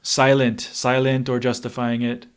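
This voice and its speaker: A man co-hosting a podcast, speaking in a reflective, measured way as he feels for his words. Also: medium pitch, completely flat delivery, clean tone. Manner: hesitant, thoughtful, measured. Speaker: podcast co-host.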